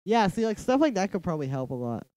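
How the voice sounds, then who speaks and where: A man's voice: high-pitched, big pitch swings, fast talker, a little mellow, dry recording. Nasal young man chatting while producing. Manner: rambling, heavy use of "like". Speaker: music producer streaming live. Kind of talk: casual livestream chat while producing. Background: faint music from the track being produced.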